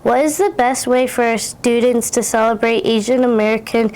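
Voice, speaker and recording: A woman's voice: on the high side, fairly even intonation, normal speed, a little mellow. A fourth-grade girl hosting an interview, reading her prepared introduction and questions carefully. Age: child, fourth grader. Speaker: student interviewer.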